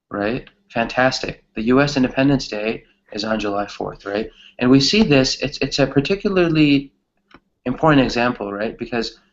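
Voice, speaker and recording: A man's voice: mid-range voice, dull audio. A man teaching a conversational English grammar class, often checking in with a questioning tag as he explains.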